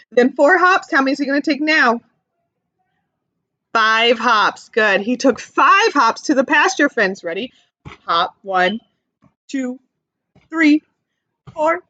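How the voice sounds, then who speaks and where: High, very animated woman reading to children. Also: dry recording, highs cut off. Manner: animated, questioning, explains simply, exclaims in sympathy. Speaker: teacher reading a picture book aloud. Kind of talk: interactive read-aloud lesson for young children.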